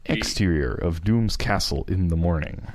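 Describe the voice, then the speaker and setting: A man's voice: low voice, normal speed, a little mellow, no room sound, clean studio sound. A man acting as narrator, reading a fan fiction script aloud in a dramatic style. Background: background music.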